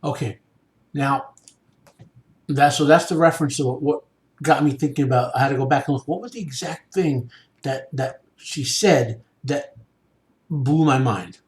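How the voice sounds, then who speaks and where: A male podcast host gives informal, explanatory commentary. He speaks haltingly and often checks in with the listener, in a strongly echoing room. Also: medium pitch. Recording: echoey room sound.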